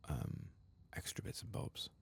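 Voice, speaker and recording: A man narrating a game playthrough in a hushed, unhurried ASMR style, often slipping into a whisper. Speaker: host of a gaming ASMR channel. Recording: faint background noise.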